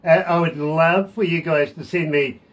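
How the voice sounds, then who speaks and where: A middle-aged man with a New Zealand accent hosts a radio show, his voice sitting slightly high. He spins long, deadpan jokes and quips at his guests in a relaxed studio chat. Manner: cracks deadpan jokes, drawn-out setups. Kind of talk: casual joking interview.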